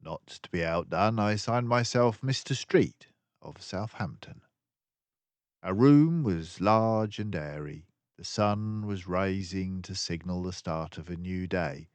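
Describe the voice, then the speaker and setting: An older man reading a children's story aloud as narrator, expressively, with measured, dramatic phrasing. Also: deep voice, dry recording.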